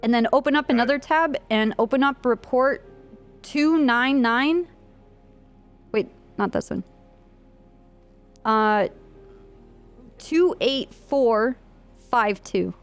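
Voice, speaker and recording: A woman's voice: fairly high pitch, takes it slowly, pauses often, soft background music. A woman leading an improvised roleplay briefing in an online game, talking casually as she explains things to a group. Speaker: streamer playing a police trooper in online roleplay, leading a meeting.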